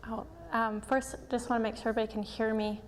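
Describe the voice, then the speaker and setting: A woman giving a public presentation to a live audience, soft-spoken and a little nervous, with frequent ums. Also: fairly high pitch, flat delivery, fast, background noise. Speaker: practitioner giving a talk. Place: event hall.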